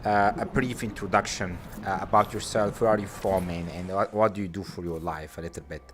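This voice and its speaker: A man hosting a live interview show, speaking eagerly in a halting way with non-native phrasing. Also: deep voice, fairly even intonation, background noise. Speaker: host.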